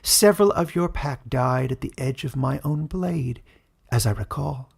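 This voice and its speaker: A man's voice: medium pitch, a little slow, gravelly, darkish tone, slightly rough. A man voicing a cruel, mocking woman ruler in a fantasy audiobook, haughty and theatrical in delivery. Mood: gloating. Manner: scornful, sneering, theatrical. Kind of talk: dramatic fantasy audiobook character reading.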